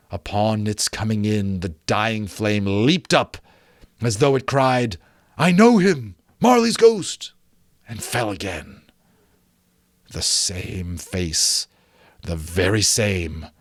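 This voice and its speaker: A man reading a classic story aloud as dramatic narration, theatrical and deliberate, building suspense. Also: on the low side, no echo, studio quality. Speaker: amateur audiobook narrator.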